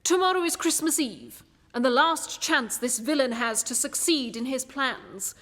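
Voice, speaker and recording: A woman acts a composed, incisive detective in a radio play. The voice is high and precise, giving orders with assurance, and rings in a long hall-like reverb. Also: animated, unhurried, fairly bright tone. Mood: assured. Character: confident, incisive gentleman detective. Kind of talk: festive radio play acting.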